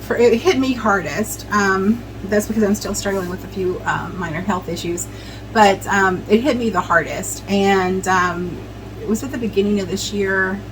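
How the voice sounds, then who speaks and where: A woman's voice: medium pitch, normal speed, background noise. Chatty woman rambling with lots of ums. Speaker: vlogger. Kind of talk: casual chat while preserving herbs.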